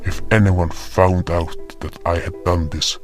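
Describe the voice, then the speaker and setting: A man voices a remorseful frostborn beast in an audio fantasy drama. He tells his tale solemnly in a deep, unhurried voice, with dramatic weight and soft music underneath. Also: little pitch movement. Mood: grave remorse. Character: remorseful male furred frostborn creature telling his tale. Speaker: narrator and voice actor. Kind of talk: first-person dramatic fantasy narration in character.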